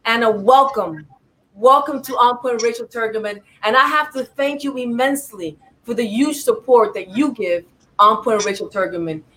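A woman hosts a talk show and introduces her guest with effusive, repetitive praise. Her voice sits a little high. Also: normal speed, fairly bright tone, poor audio.

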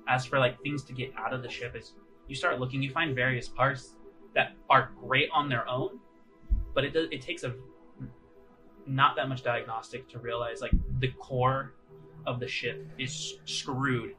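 A man improvising narration as game master of a tabletop roleplaying game, piecing his descriptions together haltingly. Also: medium pitch, fairly even intonation, sharp tone, rough recording. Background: music.

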